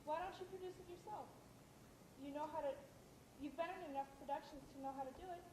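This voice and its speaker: A woman acting a very high-voiced, devoted wife. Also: fast talker, natural voice. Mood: coaxing. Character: devoted, anxious wife of a playwright. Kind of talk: amateur stage play acting. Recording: echoey, noisy stage recording.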